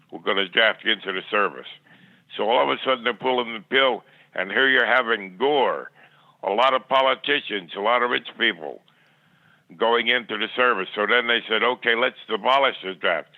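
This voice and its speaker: A man's voice: medium pitch, moderate pace, no room sound. Older man on a tinny phone line. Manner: rambling, plainspoken, stammering repetitions. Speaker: podcast guest. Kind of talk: reminiscing in interview.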